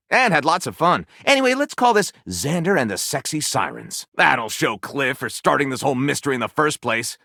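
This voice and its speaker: A man's voice: medium pitch, bright, forceful tone, no echo, clean studio sound, heavily acted. A man playing a flippant, theatrical writer character in a video game, speaking with wry sarcasm and mock grandeur. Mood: playful, dramatic. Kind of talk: animated game dialogue, mock-grand title announcing.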